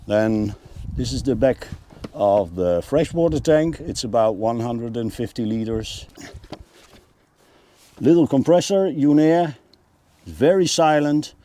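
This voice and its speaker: A man's voice: mid-range voice, mellow. A man presenting a narrated tour of a motorhome as a vlogger.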